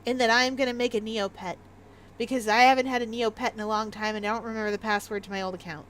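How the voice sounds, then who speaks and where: A woman's voice: on the high side, steady tone, fast talker, a bit bright, slight background noise. A Canadian woman with a light Maritime Canadian accent, chatting casually and giggling as she livestreams a game. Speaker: game streamer.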